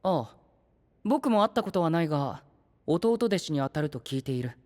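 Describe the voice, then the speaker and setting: Refined young knight, lively intonation. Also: male voice, on the high side, moderate pace, big-room sound. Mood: explaining. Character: cool, refined, sceptical young princely male knight. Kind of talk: Japanese anime audio drama acting.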